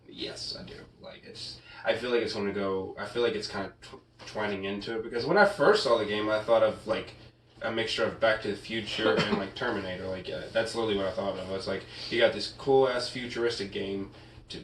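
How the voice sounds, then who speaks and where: A man's voice: lowish voice, fairly bright tone. A young man co-hosting a casual podcast, laid-back and joking, full of slang. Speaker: podcast co-host. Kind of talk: casual chat. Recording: roomy echo, distant mic, faint hiss.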